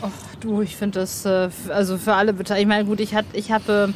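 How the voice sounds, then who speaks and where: Woman interviewer, medium-pitched voice, colloquial chatty delivery, casual interview, open-air background noise. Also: level tone, fairly quick. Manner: colloquial, chatty, restating points. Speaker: interviewer, host. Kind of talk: casual, informal interview chat. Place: outdoors on a roof terrace. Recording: clear outdoor city background noise.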